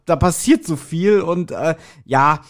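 A man's voice: on the high side, unhurried. A man chatting casually as a podcast co-host, often searching for his words.

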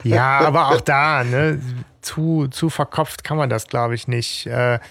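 A man's voice: medium pitch, fairly even intonation, a little slow, creaky, a bit bright, no room sound. A man co-hosting a fan podcast, talking in a casual, hesitant, reflective way with many restarts. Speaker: podcast co-host.

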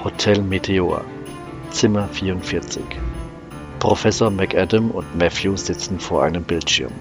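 A male narrator reads scripted scene descriptions for a science-fiction radio play. His voice is very low, with music clearly behind it. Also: a little slow. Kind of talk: scripted audio drama narration.

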